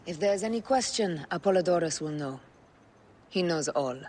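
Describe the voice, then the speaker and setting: An actress playing a resolute ancient Egyptian warrior wife in a video game, measured and intense. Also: female voice, on the low side, expressive, a little mellow, a little hiss or hum, natural voice. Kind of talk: dramatic video game cutscene acting.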